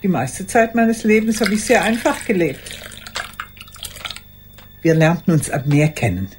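An actress playing an old retired schoolteacher who speaks formally and deliberately in a confessional radio play monologue. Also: female voice, fairly low pitch, sing-song, noisy background. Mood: frank, proud. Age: older. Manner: formal, deliberate, addressing a silent listener.

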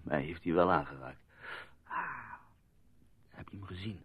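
Deep-voiced evasive journalist, muffled. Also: male voice, lively intonation, a little slow, breathy edge, dry recording, full character voice. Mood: shaken, wary. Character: guarded, evasive male journalist, defensive husband. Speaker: actor in a radio play. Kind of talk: radio play acting. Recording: muffled, phone-like lo-fi radio play.

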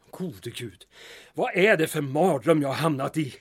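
A man's voice: on the high side, expressive, husky. En man som spelar en pressad, torrt ironisk borgmästare i ett ljuddrama. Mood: indignant, exasperated. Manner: exasperated, drily ironic. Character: beleaguered, wry male small-town mayor protesting his innocence. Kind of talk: audio drama acting.

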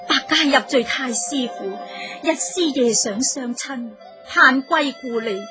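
A woman playing a gentle, dutiful wife in a Cantonese radio drama, speaking earnestly and politely. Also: high-pitched.

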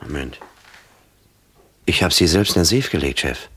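A man's voice: extremely low pitch, lively intonation, moderate pace, a bit bright, slightly rough, exaggerated voice. A man playing an honest, embittered policeman in a 1960s German radio play, with a plain, earnest manner. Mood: defensive.

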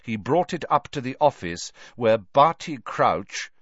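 A cold male professor, acted out by a narrator, restrained and measured in a low, quiet voice. It is audiobook character work, recorded dry and slightly dull. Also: sing-song, no room sound. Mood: cold, controlled.

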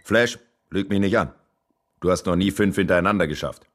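A man's voice: deep voice, fairly quick. A man playing a proud, boastful superhero father in a children's radio play. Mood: stern, surprised.

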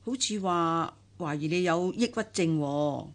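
An actress playing a kindly, fussing household maid in a Hong Kong Cantonese radio drama, chatty and soothing. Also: female voice, lowish voice, a little slow. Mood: concerned, soothing.

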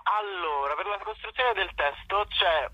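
Bright, forceful man in casual interview. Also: high-pitched, unhurried, lo-fi sound, slight background noise. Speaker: theatre director and actor, interview guest. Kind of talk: casual radio interview chat.

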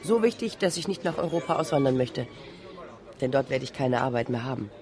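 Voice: mid-range voice, animated, fairly quick, crowd noise. A translator's voice reading an interviewee's words for a radio documentary. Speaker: voice-over translator. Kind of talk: documentary voice-over.

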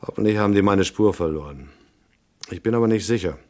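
A man's voice: fairly low pitch, fast talker, a little mellow, natural voice. An actor playing a cool, tough private detective in a noir radio drama, laconic and matter-of-fact as he narrates and talks. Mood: terse, dry. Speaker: narrator and lead character.

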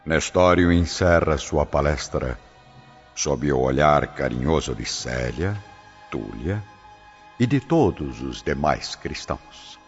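A very deep male voice narrates the radio drama slowly and solemnly, his pitch rising and falling widely. The audio is dull and lo-fi, with soft music underneath. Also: animated. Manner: slow, solemn storytelling.

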